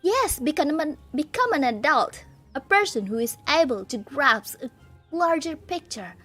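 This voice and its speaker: A woman's voice: sits high, big pitch swings, measured pace, a bit bright, faint music behind, heavily acted. A woman reading the part of a stern, reproachful older stepsister in a visual novel, her tone earnest and lecturing.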